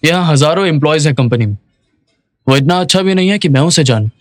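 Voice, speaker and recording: A man's voice: on the high side, lively intonation, quick pace, no room sound, close to an everyday voice. A man playing a curt, proud, dismissive company boss in a dubbed drama.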